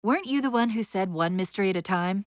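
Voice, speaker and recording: An actress playing a female military AI companion in a video game, urgent and witty but fraying under strain, with crisp tactical delivery and dry sarcasm. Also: medium pitch, lively intonation, fast talker, no room sound, natural voice. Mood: wry, sardonic. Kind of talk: dramatic game voice acting.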